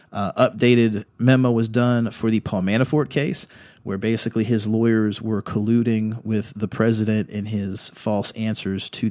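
Man, podcast host, slightly rough voice, rambling casual announcements, close dry recording. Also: mid-range voice, darkish tone, no room sound. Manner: chatty, rambling, blunt, with frequent fillers. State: slightly rough voice after illness.

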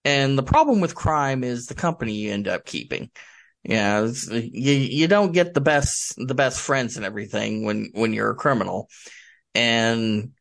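A man from the United States being interviewed as an author, explaining things casually and haltingly as he searches for words. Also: medium pitch, animated, creaky, a bit bright. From United States, Michigan.

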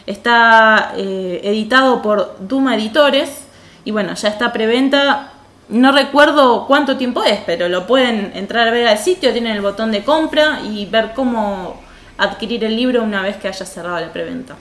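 A woman's voice: fairly high pitch, moderate pace, a bit bright. A woman with an Argentine accent chatting casually as a podcast co-host. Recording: roomy echo.

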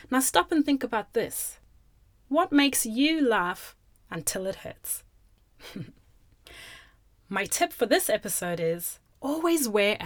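A woman's voice: mid-range voice, dry recording. Cheerful woman, dry close sound. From Zimbabwe. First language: Shona. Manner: cheerful, expressive storytelling. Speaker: presenter. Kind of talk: scripted narration for children.

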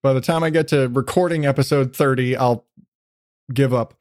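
A man's voice: medium pitch, fairly even intonation, normal speed, a little mellow, no room sound, clean studio sound. A man hosting a casual podcast interview, talking in a rambling, self-deprecating way with casual swearing. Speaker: podcast host.

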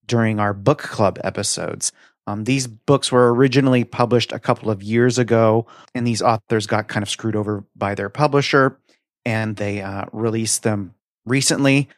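A man's voice: fairly low pitch, fairly even intonation, normal speed, no room sound, studio quality. A man co-hosting a casual podcast, recommending things in an emphatic, gushing and slightly wry way. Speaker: podcast co-host. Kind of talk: casual book recommendation chat.

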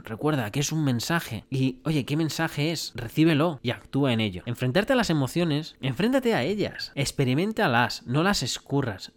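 A man giving an earnest motivational monologue as a self-help podcast host, talking straight to the listener and swearing for emphasis. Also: medium pitch. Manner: earnest, repetitive, addresses listener directly with "oye" and "mira".

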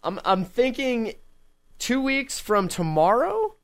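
A man hosting a casual tech podcast, joking around and laughing often between quick remarks. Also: very high-pitched, wildly swooping pitch. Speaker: podcast host.

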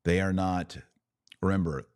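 Very deep-voiced man teaching religion. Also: steady tone, a little mellow, no room sound, studio quality. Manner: didactic, deliberate, with emphatic pauses between phrases. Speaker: podcast host and religious teacher.

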